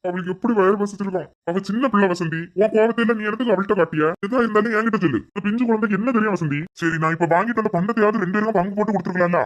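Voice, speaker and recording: A mid-pitched voice speaks very quickly as a gentle father, played by a performer in a home-made skit. he soothes and reasons in speech, rushing through each plea without pause. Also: lo-fi sound, lightly acted. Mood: reproaching. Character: gentle caring father, adult man.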